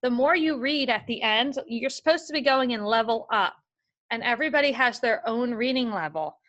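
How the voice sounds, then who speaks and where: A woman's voice: fairly high pitch, lively intonation, normal speed, fairly bright tone, dull audio. A woman teaching a remote class of young children, reading a picture book aloud and giving patient, step-by-step instructions. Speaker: elementary school teacher.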